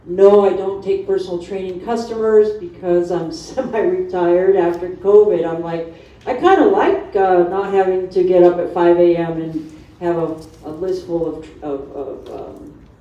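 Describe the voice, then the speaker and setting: A middle-aged woman talks informally to an audience, acting out her asides in an animated way. Her voice sits a little low. The sound is very reverberant and dull, picked up from far off. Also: measured pace. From Honduras. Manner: animated, conversational storytelling with acted-out asides. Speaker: fitness instructor on a seminar panel. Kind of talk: informal personal talk to a live audience. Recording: distant mic in echoey room, dull sound.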